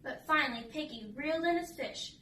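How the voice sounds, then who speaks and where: Female storybook reader, bright tone, set back from the mic with some faint noise. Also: sits high, fairly quick, reverberant, recorded from a distance. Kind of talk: children's read-aloud narration and casual chat.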